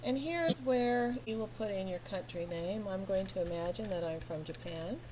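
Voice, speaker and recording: A woman's voice: mid-range voice, background noise. Patient American woman walking through a form. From California. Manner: slow, patient, spells out each step. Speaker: online English teacher. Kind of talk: screen walkthrough tutorial.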